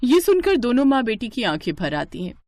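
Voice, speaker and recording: A woman's voice: a little high, very animated, a little fast, darkish tone, no echo, clean studio sound. A woman narrating a scripted moral story as a storyteller.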